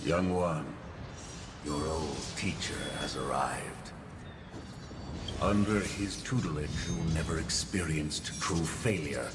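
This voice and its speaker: Old swordmaster villain, low-pitched, unhurried, theatrical, taunting, game dialogue. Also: male voice. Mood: sly, coaxing. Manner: theatrical, slow, taunting, swelling into shouts. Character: menacing old male swordmaster goading his young pupil. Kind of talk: dramatic video game voice acting. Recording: loud music under the voice.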